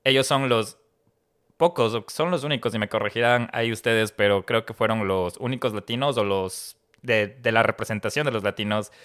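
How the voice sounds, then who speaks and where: Bright-voiced male podcast host. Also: fairly low pitch, normal speed, smooth. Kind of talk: casual podcast chat with guests.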